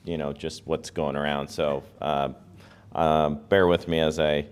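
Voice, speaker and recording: A man with a very low, clean-edged voice that is hoarse today addresses an audience. He talks in a relaxed, conversational way, plain-spoken and modest. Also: measured pace. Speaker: guest speaker and educator. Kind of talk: informal presentation to an audience.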